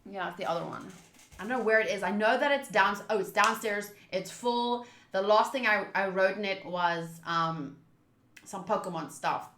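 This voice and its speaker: A woman hosts a game playthrough in an informal, streamer's way. Her voice is bright and of middling pitch. The room around her adds a noticeable echo. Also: moderate pace. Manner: informal, chatty, thinks aloud. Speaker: streamer, visual novel playthrough host. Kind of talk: casual livestream chat and reading game text aloud.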